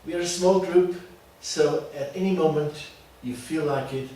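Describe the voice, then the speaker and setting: A man with an Austrian accent talks to a small group as their trainer, picking his words deliberately and checking in with short tag questions. His voice sits a little high. It is picked up from a distance in a very reverberant room with faint noise. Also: dark tone. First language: German. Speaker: teacher trainer leading a workshop. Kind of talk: informal workshop talk to a small group. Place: classroom.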